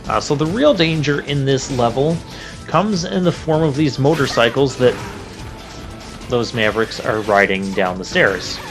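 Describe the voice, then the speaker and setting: A man comments casually over a video game in a medium-pitched voice. He is informal and chatty, thinking aloud as he plays. Game music runs clearly underneath. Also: lively intonation, measured pace. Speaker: video game let's player. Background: game music and crashing effects.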